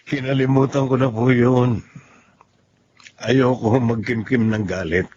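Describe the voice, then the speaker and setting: A Filipino man playing a gentle, honest family man in a radio-style drama. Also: mid-range voice, fairly even intonation, slow pace, slight background noise, natural voice. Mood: respectful, gentle. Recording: dull lo-fi audio with music behind.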